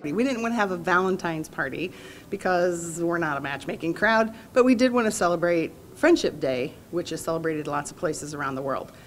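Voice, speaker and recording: A woman's voice: lowish voice, fairly quick, slight background noise. A woman organizing a social event, explaining it in a friendly, conversational interview. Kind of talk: interview answer.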